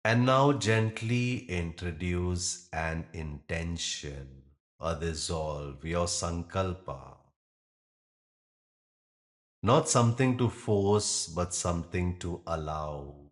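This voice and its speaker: A man leading a guided yoga nidra meditation in a gentle, soothing and measured manner, leaving pauses between phrases. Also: sits low.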